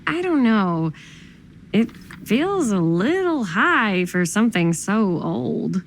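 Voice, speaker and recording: A woman's voice: medium pitch, big pitch swings, slow, slight background noise. An actress playing a wary, polite young woman customer, casual and hesitant, in a naturalistic video game scene. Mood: hesitant, haggling.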